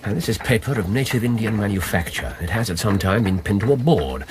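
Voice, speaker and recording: An actor playing a self-assured English gentleman detective in a radio drama, precise, dry and coolly observant. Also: on the low side, animated, fairly quick, natural voice. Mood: intrigued, analytical. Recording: noisy radio drama background.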